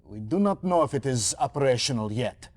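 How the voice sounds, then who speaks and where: An actor playing a sober military intelligence officer in a video game cutscene, giving a measured, matter-of-fact report. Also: male voice, medium pitch, lively intonation, a little mellow, lightly acted. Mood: businesslike.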